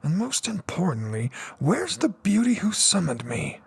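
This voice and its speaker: Man playing exasperated young fantasy-world castaway for an audiobook, self-mocking mutterer, swinging pitch, some creak. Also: huge pitch swings, measured pace, exaggerated voice. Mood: frustrated. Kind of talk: audiobook character reading.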